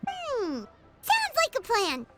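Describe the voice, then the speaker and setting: A woman's voice: very high voice, huge pitch swings, slow, heavily acted. A woman voicing a tiny, childlike fairy companion in a video game, curious, blunt and exclamatory. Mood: curious, surprised. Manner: speaks of herself in third person, exclamatory. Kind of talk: animated video game voice acting. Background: game music.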